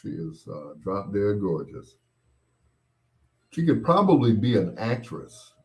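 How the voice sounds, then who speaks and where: A middle-aged man hosting a casual show, chatting and reminiscing in a rambling way, often pausing and repeating himself as he replies to listeners. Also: on the low side, big pitch swings. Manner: unhurried, rambling, with pauses and repeats. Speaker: host of a bedtime stories show. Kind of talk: casual chat, reminiscing and replying to listener comments.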